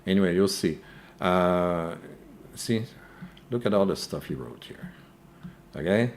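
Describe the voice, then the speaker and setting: Slow, low-voiced man with a French accent. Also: lively intonation, a little hiss or hum. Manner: hesitant, frequent "uh" and tag "okay?". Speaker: crypto news host. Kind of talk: live-stream chat answering viewer questions.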